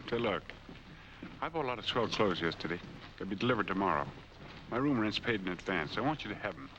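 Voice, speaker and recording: Man acting a fast-talking 1940s noir drifter. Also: on the low side, animated, a little hiss or hum, close to an everyday voice. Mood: brisk, grateful. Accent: American. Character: drifter posing as a heir, urgent, grateful. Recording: muffled 1940s film soundtrack with music.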